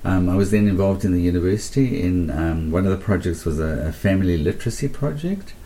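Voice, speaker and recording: A man with a South African accent, speaking as a radio interview guest in an earnest, halting way with many pauses and ums. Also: low voice, lively intonation, mellow.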